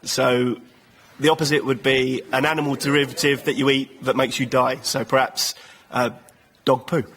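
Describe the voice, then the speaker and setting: A man with a husky voice, explaining an idea as a guest on a comedy show. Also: medium pitch, little pitch movement, normal speed, fairly bright tone. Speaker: guest contestant. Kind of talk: explaining a tongue-in-cheek theory on stage.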